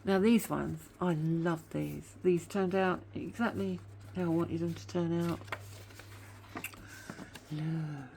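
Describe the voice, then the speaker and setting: A woman with a somewhat low voice talks through her craft work at home in a loose, rambling way. A sore tooth gives her voice a slightly whiny edge, and a faint hum sits underneath. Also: steady tone, slow pace, vocal fry. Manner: chatty, rambling, admits to waffling. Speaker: craft vlogger. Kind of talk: casual craft show-and-tell chat.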